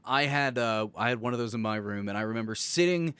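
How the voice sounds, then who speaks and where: Clear-voiced young man in casual banter. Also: medium pitch, lively intonation, fast, fairly bright tone, no echo. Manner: joking, informal. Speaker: podcast co-host.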